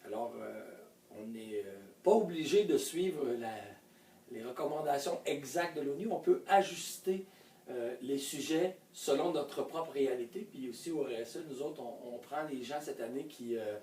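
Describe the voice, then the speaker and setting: A man's voice: mid-range voice, normal speed, dark tone. A man conducting an informal interview, feeling his way through questions in a hesitant manner. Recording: echoey room, distant mic, faint background noise.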